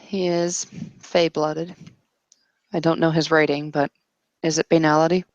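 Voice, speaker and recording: A woman's voice: low-pitched, flat delivery, a little slow, no echo, muffled recording. A woman roleplayer talking through game details out of character.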